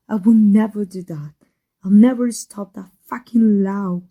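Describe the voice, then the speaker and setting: A woman with a Mexican accent playing a proud, secretly devoted villainess in an intimate ASMR roleplay, halting and emotional. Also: medium pitch, mellow. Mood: defensive, desperate. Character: proud, flustered, secretly devoted villainess with life-transfer power.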